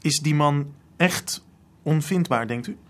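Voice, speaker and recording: An actor playing an eager, polite young student art sleuth in a radio drama, speaking earnestly with some hesitation. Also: male voice, a little high, slow. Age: young adult.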